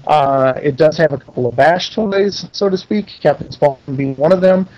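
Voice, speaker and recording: A man with a slightly high voice talks as an interview guest over a muffled, lo-fi internet call. He explains things casually and in detail, pausing to think as he goes. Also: a little mellow. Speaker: interview guest, pinball game maker.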